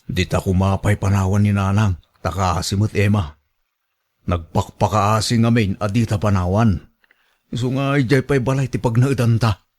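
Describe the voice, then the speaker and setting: A man's voice: fairly low pitch, steady tone, moderate pace, a little mellow, natural voice. A man playing an earnest, reassuring grown son and new husband in an Ilocano radio drama.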